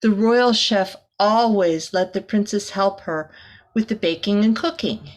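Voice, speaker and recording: Slow-paced woman reading a children's storybook. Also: mid-range voice. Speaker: volunteer teacher reading a picture book to children. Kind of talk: children's storybook read-aloud with chatty asides.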